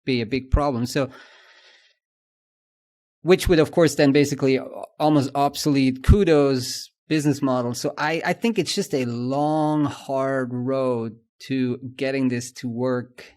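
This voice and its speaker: A man's voice: medium pitch, a little slow, darkish tone. Swiss German-accented man chatting casually. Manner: thinks aloud, many fillers and restarts. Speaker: podcast co-host. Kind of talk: casual industry chat.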